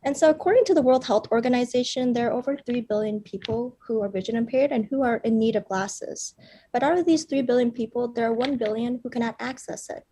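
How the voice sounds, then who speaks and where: A young woman from Vietnam, a startup founder, gives an earnest pitch with frequent fillers. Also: fairly quick, warm tone, a little hiss or hum. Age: young adult.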